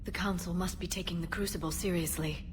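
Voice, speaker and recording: Casting: female actor as considerate military aide for a game, slightly low, soft voice, noisy ambience behind. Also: steady tone, fairly quick, natural voice. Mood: gentle, concerned. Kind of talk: dramatic video game acting. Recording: clear background noise.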